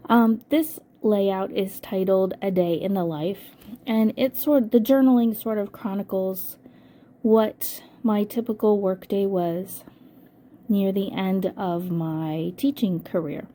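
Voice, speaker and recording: An older woman with a hoarse voice from a cold, casually narrating a scrapbooking voice-over and thinking aloud with frequent ums. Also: mid-range voice, takes it slowly, dark tone. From United States. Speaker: scrapbooking channel host.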